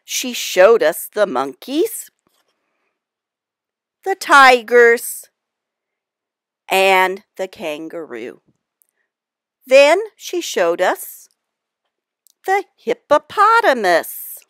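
A woman reading a children's picture book aloud, with expressive emphasis and dramatic pauses. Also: a little high, no echo, clean studio sound. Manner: deliberate, dramatic pauses, animated emphasis for young listeners.

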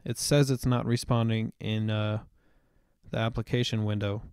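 A young man explaining software setup step by step in a screen-recorded tutorial voice-over, with the odd "um". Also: on the low side, little pitch movement, moderate pace, smooth, dry recording, clean studio sound. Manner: explanatory, step-by-step, with occasional fillers. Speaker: tutorial presenter.

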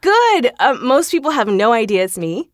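A woman's voice: a little high, sing-song, moderate pace, no echo, studio quality. A woman voice actor guesting on a podcast, bubbly and laughing, with frequent ums. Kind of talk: casual interview.

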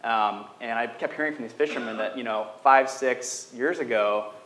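A man's voice: mid-range voice, moderate pace, fairly bright tone. A young man on a panel, answering earnestly and thoughtfully with frequent ums. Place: large wood-paneled lecture hall. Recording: roomy hall sound, faint ambience.

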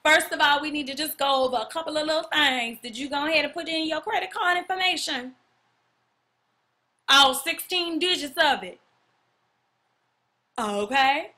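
Woman as a high-voiced, bossy phone hustler. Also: moderate pace, pauses often, bright, forceful tone. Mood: bossy, businesslike. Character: bossy, casual woman taking payment details by phone.

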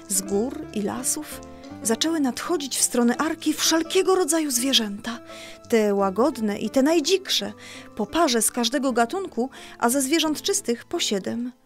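A woman narrating a scripted Bible story for a children's audio drama. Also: a little high. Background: music.

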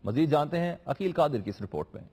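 A man's voice: on the high side, animated, dark tone, breathy edge. A Pakistani man in his thirties reading news headlines formally as a studio anchor. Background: music.

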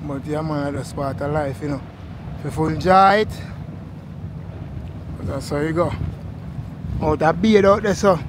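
A middle-aged Jamaican man vlogging excitedly while parasailing over the sea, talking to viewers into an action camera held at arm's length. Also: on the high side, a little slow, pauses often, noisy background. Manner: excited, repetitive, exclaiming to viewers. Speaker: travel vlogger. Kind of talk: excited travel vlog.

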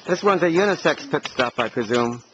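A man's voice: a little high, animated, fairly quick. An older man filming a group hike outdoors and making dry, understated remarks as he walks. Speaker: hiker filming the walk. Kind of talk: casual on-the-go video commentary. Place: outdoors on a rural hillside trail. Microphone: handheld camcorder mic. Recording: dull camcorder audio, outdoor noise.

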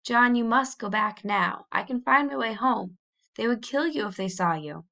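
A woman's voice: medium pitch, measured pace, fairly bright tone. A woman voicing a frightened but brave young pioneer girl in a storybook, pleading earnestly and urgently. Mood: urgent, afraid.

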